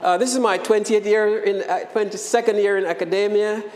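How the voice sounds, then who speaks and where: A middle-aged Jamaican man lectures students in a high, bright-pitched voice, friendly and full of ums as he keeps urging them to ask questions. He speaks into a lapel mic, and his voice rings through an echoey hall with a long reverb tail. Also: fairly even intonation, fairly quick. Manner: friendly, filler-heavy, keeps inviting questions. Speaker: guest lecturer, university program director. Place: lecture room. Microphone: lapel microphone.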